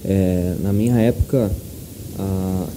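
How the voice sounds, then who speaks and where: A man's voice: sits low, steady tone, takes it slowly, music in the background. A man with a Brazilian accent co-hosting a podcast, chatting casually and giving emphatic opinions. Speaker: podcast co-host. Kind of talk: casual chat. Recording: clear background noise, room ambience.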